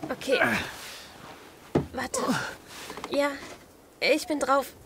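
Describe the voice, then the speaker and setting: Woman acting female apocalypse survivor, brave, practical, higher voice, radio drama, noisy backdrop with clicks. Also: steady tone, very slow. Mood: effortful, determined. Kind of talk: German radio play acting. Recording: clear background noise with clicks.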